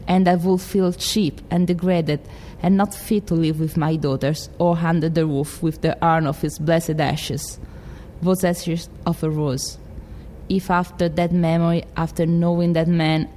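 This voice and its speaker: Brief: woman playing a defiant grieving widow, Italian accent, very low voice. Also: dark tone, in a large hall, a little hiss or hum. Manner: impassioned, defiant monologue reading. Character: proud grieving middle-aged widow, fiercely devoted.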